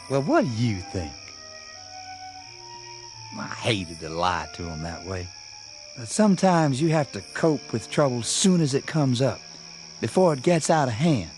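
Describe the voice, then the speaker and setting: Unhurried, folksy young country man. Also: medium pitch, sing-song, a little mellow, faint music behind. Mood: reassuring, amused. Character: easygoing young country husband-to-be with secretive calm. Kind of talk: radio drama acting.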